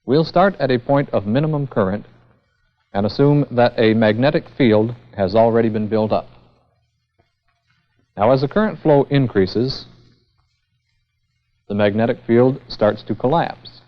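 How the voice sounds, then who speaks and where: A man's voice: medium pitch, measured pace, pauses often. A man giving a formal, methodical scripted technical lecture as the instructor in a vintage educational film. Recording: dull, band-limited vintage 16mm film sound.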